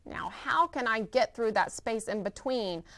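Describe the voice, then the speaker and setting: A woman's voice: fairly high pitch, animated, unhurried, a bit bright, no echo. A woman reading aloud and voicing a tired, good-hearted male elephant. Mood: pleading. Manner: pleading and worn out.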